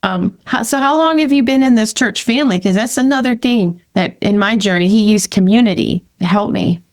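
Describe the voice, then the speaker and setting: A woman's voice: on the high side, a little fast. A woman hosting a podcast, chatting casually in an enthusiastic, affirming way. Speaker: podcast host. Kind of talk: casual conversational interview.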